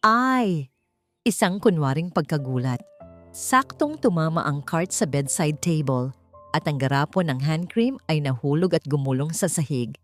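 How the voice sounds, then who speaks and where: A woman's voice: low-pitched, lively intonation, a little slow. A woman with a Filipino accent reading a dramatic story as an audiobook narrator. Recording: music bed under the voice. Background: background music.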